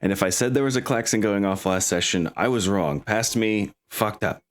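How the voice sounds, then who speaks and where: A man's voice: on the low side, moderate pace. A man chatting casually as game master of a tabletop game, rambling and correcting himself as he goes.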